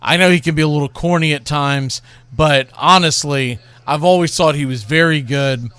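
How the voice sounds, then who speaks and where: A man's voice: a little high, moderate pace, a bit bright, smooth, no room sound. A man hosting a baseball show, reminiscing and commenting in a rambling, conversational way about classic calls. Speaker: baseball radio show host.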